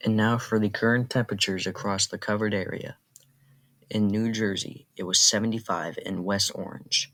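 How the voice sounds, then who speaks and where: A man's voice: lowish voice, normal speed. A synthetic-sounding man reading an automated weather radio bulletin in an impersonal, mechanical way. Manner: flat, impersonal, synthetic delivery.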